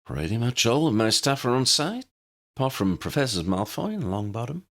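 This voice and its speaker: Silky, gracious headmistress, voiced by a man, raised pitch with lilting swoops, storybook fantasy. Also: sing-song, moderate pace, fairly bright tone. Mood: brisk, polite. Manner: purring, gracious. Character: poised, purring woman head teacher, courteous and silky.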